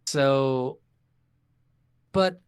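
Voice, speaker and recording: A man's voice: medium pitch, very slow, clear, resonant tone, no room sound, clean studio sound. A man co-hosting a video game podcast, chatting casually with heavy swearing and incredulous rants, acting out lines he quotes. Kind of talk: casual, profane game review chat.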